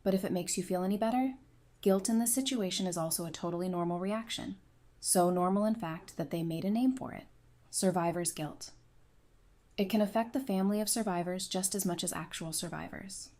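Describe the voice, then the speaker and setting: A woman acting as a caring psychiatrist in an audio drama, weary and hurt underneath. She talks candidly and reassuringly, with a wry, self-deprecating edge, at a middle pitch. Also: brisk, mellow, close to an everyday voice.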